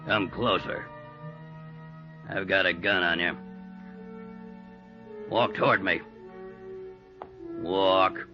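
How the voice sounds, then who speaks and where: Radio noir beat cop: nervous, terse patrolman, deep slow male voice, music prominent behind. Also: fairly even intonation, full character voice. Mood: wary challenge. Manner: wary, terse. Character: nervous male police patrolman on foggy night watch. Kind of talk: 1940s radio drama acting. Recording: muffled vintage radio recording, loud music behind.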